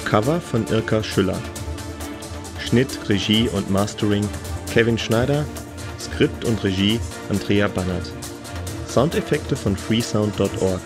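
A man's voice: fairly low pitch, measured pace. A man reading scripted end credits as an announcer. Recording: music under the voice. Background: music.

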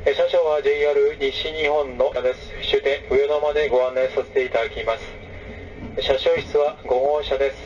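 A male train conductor making formal onboard announcements through a public address speaker, with measured phrasing and frequent filler sounds. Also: medium pitch, steady tone, a little mellow. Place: moving overnight sleeper train. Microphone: train public address system, recorded from a passenger seat. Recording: distant, dull, noisy 2006 recording of train PA. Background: train running noise.

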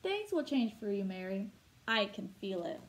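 A woman's voice: mid-range voice, sing-song, normal speed, natural voice. A woman reading a storybook aloud as a kindly, folksy old male shopkeeper with a coaxing way of talking. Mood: warm, encouraging.

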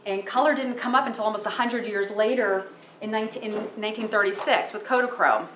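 A woman in her forties, a photographer giving a casual talk in a library meeting room, speaking loudly into a handheld microphone with plenty of fillers. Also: medium pitch, a little fast, slight background noise. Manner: loud, chatty, with many fillers. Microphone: handheld microphone through PA. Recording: echoey room over a PA.

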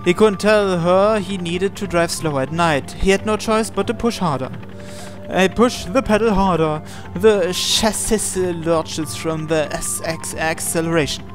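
A man's voice: high voice, a little slow, music in the background. A man reading visual novel narration aloud, sometimes stumbling over and repeating words as he goes. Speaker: video game player reading aloud.

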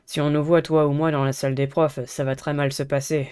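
A man voicing a polite, earnest teenage schoolboy in a dating game, measured. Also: fairly high pitch, level tone, a little mellow, clear, resonant tone, close to an everyday voice. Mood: calm, earnest.

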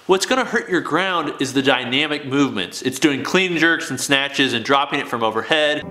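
Bright-voiced young man in an echoey garage gym. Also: medium pitch, normal speed, faint music behind. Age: young adult. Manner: emphatic, keeps adding "okay?". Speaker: fitness video host. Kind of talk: casual talk to camera. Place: home garage gym, indoors. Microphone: camera mic, moving around the room.

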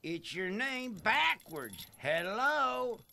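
A man voicing a dim, good-natured country tow truck in an animated game, with a slow Southern US drawl. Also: high voice, vocal fry, full character voice. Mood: eager, friendly. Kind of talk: animated game cutscene acting.

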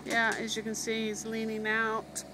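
A woman talks casually while filming outdoors with a phone, her voice fairly high and her delivery very slow and drawn out. She fills her commentary with "um" and "you know". A digger's engine rumbles clearly behind her. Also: completely flat delivery. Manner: chatty, many fillers and "you know". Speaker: homeowner filming renovation. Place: outdoors at a building site. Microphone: phone camera held by the narrator. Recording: outdoor phone video with loud machinery. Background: digger engine noise.

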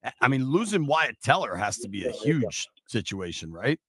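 A man's voice: medium pitch, very animated, normal speed, crowd noise. An American man hosting a casual sports radio interview on a studio microphone. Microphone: studio broadcast microphone on boom arm.

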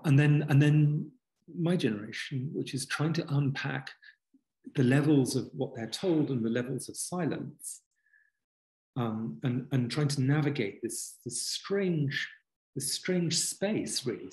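A man in his fifties, a guest author on a video call from a book-lined home study, talking earnestly in a halting way with many restarts. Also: medium pitch, darkish tone, low-quality recording. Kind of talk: reflective conversational interview. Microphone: video call webcam.

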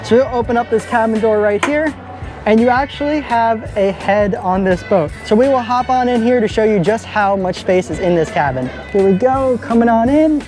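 A young man filming a walkaround boat review at arm's length in a large indoor boat show hall, talking with steady enthusiasm. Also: sits high, normal speed, over music. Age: young adult. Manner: enthusiastic, upbeat. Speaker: boat vlogger. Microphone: handheld camera at arm's length.

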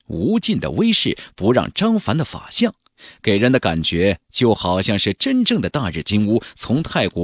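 A male audiobook narrator performs a fantasy novel in a husky, mid-pitched voice. His delivery is theatrical and emphatic, with very wide melodic swings. The sound is dry, with music playing behind him. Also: a bit bright, no room sound.

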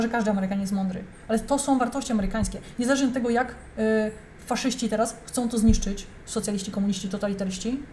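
A woman's voice: on the high side, darkish tone, slight background noise. A young adult woman giving heated, emphatic reaction commentary, talking straight to her viewers. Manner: indignant, emphatic, addresses viewers directly.

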